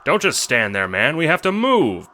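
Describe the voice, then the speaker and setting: A man's voice: a little high, wildly swooping pitch, moderate pace, bright, forceful tone, pitched above the performer's own voice, full character voice. A man voicing a brash old male adventurer in an audio drama, grumbling and ordering people about in old-fashioned blustery talk. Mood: brisk, bossy. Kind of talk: audio drama character acting.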